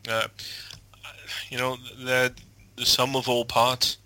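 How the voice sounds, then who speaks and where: A man's voice: medium pitch, monotone, slow, noisy background. A man on a football podcast panel who talks hesitantly, trailing off with ums and restarts. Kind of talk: casual football chat.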